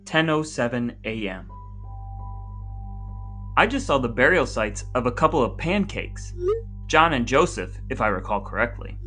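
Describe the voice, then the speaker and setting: A man with a bright, forward voice hosts with playful mock grandeur. His phrasing is wordy and comic, sitting at an ordinary male pitch. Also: very animated, moderate pace, pauses often, faint music behind. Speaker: podcast host. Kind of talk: casual comic banter, partly dramatic reading of texts.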